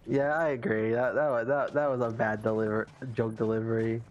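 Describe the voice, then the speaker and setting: A man's voice: medium pitch, level tone, a little fast, lo-fi sound. A man joining a game stream, bantering casually and tossing out teasing jokes. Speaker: friend in the stream chat.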